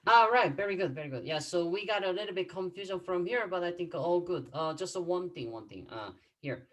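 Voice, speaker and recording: Korean teacher on a video call. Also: male voice, high-pitched, animated, brisk, fairly bright tone. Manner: patient, explains with examples, frequent fillers and restarts. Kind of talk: online language class teaching. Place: home room, video call.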